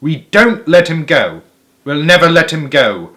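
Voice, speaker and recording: A man's voice: a little high, animated, moderate pace, a bit bright, pitched above the performer's own voice. A man reading aloud and voicing a short, pot-bellied, vicious male farmer.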